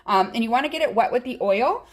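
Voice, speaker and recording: A woman's voice: a little high, lively intonation, fast. A woman in her thirties with a Chicago accent, a wife and mother chatting through a cooking demo in her home kitchen with lots of ums and likes. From Chicago area, US Midwest.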